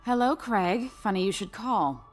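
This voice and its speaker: An actress playing a polished, guarded woman art dealer in a video game, with a smooth, refined manner that turns curt when pressed. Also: mid-range voice, animated, unhurried, soft background music. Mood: angry. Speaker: art auction house owner.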